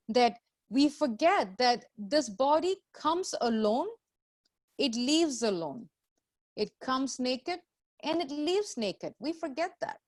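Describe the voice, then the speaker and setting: Woman, high-pitched voice, very slow pace, spiritual teacher, didactic, repetitive phrasing, rhetorical questions. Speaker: spiritual teacher leading a group class. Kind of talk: scripture lecture with questions to the group.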